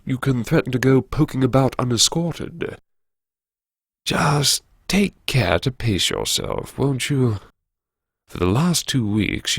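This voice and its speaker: Man acting a gravelly, theatrical, sardonic narrator. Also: medium pitch, no echo, studio quality, exaggerated voice. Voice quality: gravelly, husky. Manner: arch, sardonic, savouring words with dramatic pauses. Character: wry, theatrical, self-mocking university vice-chancellor narrating his haunted memoirs. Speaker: narrator of a serialised audio story. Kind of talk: theatrical first-person audiobook narration.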